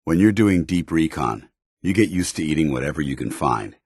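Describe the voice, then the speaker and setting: An actor playing a stern, principled military paladin in a video game, speaking in a formal, earnest, commanding soldierly way. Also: male voice, rumbling low, expressive, moderate pace, a little mellow, slightly rough, no room sound, studio quality, exaggerated voice. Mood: watchful, businesslike. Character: stern, principled male military paladin, loyal to his order. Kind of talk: video game companion dialogue.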